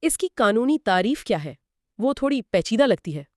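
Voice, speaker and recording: A woman's voice: a little high, very animated, moderate pace, a little mellow, no echo, studio quality. A woman co-presenting a scripted explainer dialogue, prompting with questions and summing up points. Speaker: co-presenter asking and summarizing.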